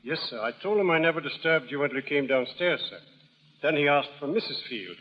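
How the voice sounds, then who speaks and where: A man's voice: on the high side, animated, fairly quick. An actor playing a formal, deferential male servant in 1930s radio drama style, polite and proper. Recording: dull, muffled old radio broadcast.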